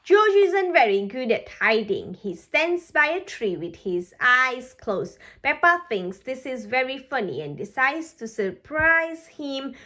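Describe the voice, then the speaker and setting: Woman narrator, children's read-aloud, high voice, animated intonation, slow deliberate pace. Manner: expressive, deliberate. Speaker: storybook narrator.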